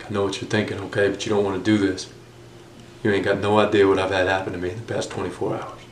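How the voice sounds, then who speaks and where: An actor playing a tough, rattled drug dealer, blunt and confrontational, in a film drama. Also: male voice, low voice, flat delivery, fast, slight background noise, natural voice. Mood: urgent. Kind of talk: dramatic film acting, profane dialogue.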